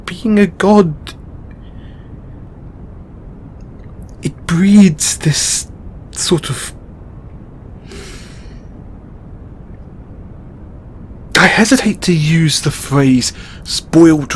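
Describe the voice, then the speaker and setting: A man playing a weary, burdened god in an intimate audio roleplay, speaking haltingly with sighs and long pauses. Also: fairly high pitch, a little hiss or hum. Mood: regretful, confessing. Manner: halting, sighing, with drawn-out pauses. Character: weary, burdened male god confiding in his lover. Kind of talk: audio roleplay monologue, intimate dramatic acting.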